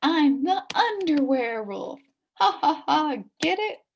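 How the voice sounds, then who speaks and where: Woman voicing a cocky, goofy prankster boy, swooping pitch. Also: very animated, slow pace, gravelly, slight background noise, full character voice. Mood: playful, pleased.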